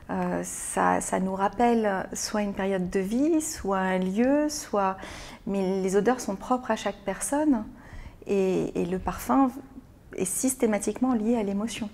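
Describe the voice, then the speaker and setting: A woman born in France, an interview guest answering in a thoughtful, halting way with frequent pauses and repeated words. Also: medium pitch, gravelly, a little mellow. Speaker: interview guest, business owner. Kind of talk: hesitant conversational interview answers.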